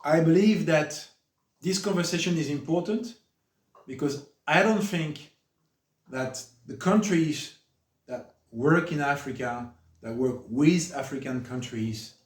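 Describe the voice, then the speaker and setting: A middle-aged French man with a French accent, giving a formal spoken introduction at a book discussion, earnest and halting, with frequent fillers. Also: fairly high pitch. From France. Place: room with an audience. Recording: echoey room, mic at a distance.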